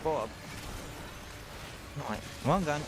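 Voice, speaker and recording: A man's voice: fairly high pitch, expressive, slow pace, noisy background. A man casually commenting while streaming a video game, with offhand, wry reactions. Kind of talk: casual live gameplay commentary.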